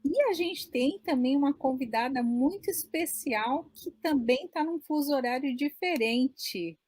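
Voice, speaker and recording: A woman's voice: sits high, lively intonation, measured pace, darkish tone, dry recording. A Brazilian woman in her forties hosting a live online chat in a friendly, talkative way, often adding "né?". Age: 40s. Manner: friendly, chatty, many "né?" tags. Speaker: host. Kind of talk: casual live-stream chat.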